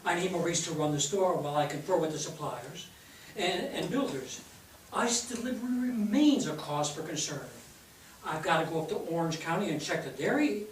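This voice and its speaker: A man's voice: fairly high pitch, normal speed, close to an everyday voice. An actor in a staged reading playing a tired, fretful father who runs a business, stammering and repeating himself. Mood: weary, fretful. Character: harried, tired old father running ice cream business. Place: theatre stage, recorded from a distance. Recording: echoey stage, distant mic, faint noise.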